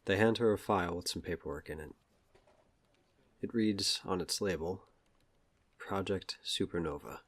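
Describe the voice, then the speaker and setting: A man's voice: low voice, moderate pace, pauses often. A man reading scripted present-tense narration for an audio drama. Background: music.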